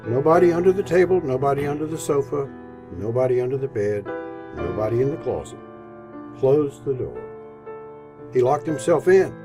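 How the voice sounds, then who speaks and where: A man narrating a classic story for a radio drama in a scripted, expressive storytelling style. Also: medium pitch, normal speed, pauses often. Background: piano music.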